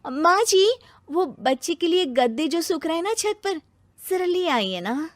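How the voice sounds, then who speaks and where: A very high, whiny young wife and new mother, acted out by a woman, wheedling and sorry for herself. She speaks in storybook dialogue with a dry, close sound. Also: sing-song, measured pace, no room sound, heavily acted. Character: self-pitying, work-shy young daughter-in-law and new mother. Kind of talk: animated moral story dialogue.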